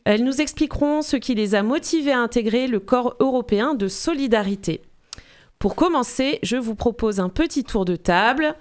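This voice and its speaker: A woman's voice: a little high, expressive, moderate pace. A woman hosting a local radio interview program, introducing guests in a friendly, lightly scripted way. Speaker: radio show host.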